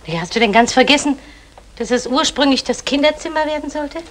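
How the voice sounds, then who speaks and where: A woman's voice: sits high, expressive, brisk. An actress playing a meddling, matter-of-fact middle-aged mother in a vintage German crime radio drama. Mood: brisk, knowing. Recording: vintage radio drama, clicks, faint noise.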